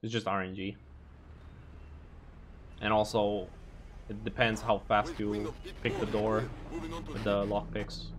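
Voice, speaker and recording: A man's voice: fairly low pitch, flat delivery, takes it slowly, long pauses, crowd noise. A Romanian man who sounds American, casually commentating his speedrun and chatting with viewers, easily exasperated. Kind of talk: casual live commentary over gameplay.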